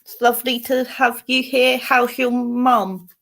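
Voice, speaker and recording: A woman's voice: fairly high pitch, level tone, unhurried, creaky, fairly bright tone. An English woman hosting a casual live stream, chatting with viewers by name and giving card readings. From England. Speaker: host and card reader.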